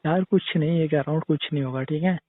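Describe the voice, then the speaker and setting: Young guy on a phone call, kinda high voice, dry tinny line, casual boyfriend talk, keeps pressing with questions. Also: quick pace, no room sound. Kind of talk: casual relationship phone chat.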